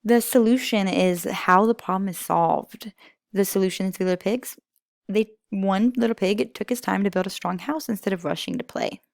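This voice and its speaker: Gravelly-edged woman teaching young children. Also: mid-range voice, normal speed, no echo, studio quality. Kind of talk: informal lesson for young children.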